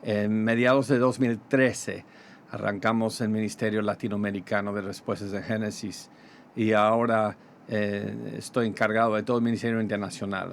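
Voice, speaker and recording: Pastor with a half-gringo, half-Mexican accent. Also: male voice, fairly low pitch, gravelly, slight background noise. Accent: American with Mexican Spanish touches. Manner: halting, many fillers and restarts. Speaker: pastor, ministry guest. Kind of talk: radio interview in Spanish.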